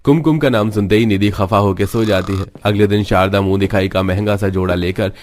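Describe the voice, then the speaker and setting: A man's voice: on the low side, fairly even intonation, fairly quick, darkish tone, a little hiss or hum. A man reading scripted narration for a cartoon story.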